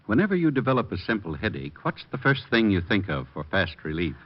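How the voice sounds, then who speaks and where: A man playing a helpful, assured family druggist, pitching in a vintage radio commercial. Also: sing-song, quick pace. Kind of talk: 1940s-50s radio commercial. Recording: dull old radio recording.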